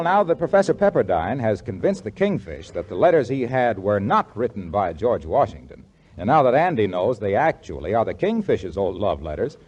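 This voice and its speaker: A man's voice: medium pitch, darkish tone, breathy edge. A man narrating as a 1940s radio announcer from a script. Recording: muffled 1940s radio recording.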